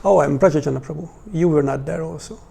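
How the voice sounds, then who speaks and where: A man in his forties with a Hungarian accent, talking informally to a small religious class in a hesitant, halting way with pauses. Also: fairly high pitch, gravelly, mellow. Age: 40s. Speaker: religious teacher.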